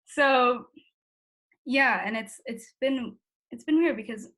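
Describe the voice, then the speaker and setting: A woman's voice: a little high, slow pace, fairly bright tone. Young American woman, candid and casual. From born in the Philippines, grew up in the United States. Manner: informal youthful talk, heavy use of "like", self-reflective. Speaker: interviewee in a family conversation.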